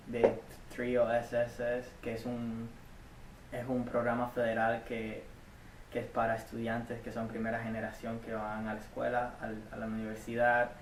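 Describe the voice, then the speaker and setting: A young man from Cuba, a community college student giving earnest, halting interview answers full of restarts and repeated words. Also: medium pitch, slight background noise. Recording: echoey room, mic at a distance.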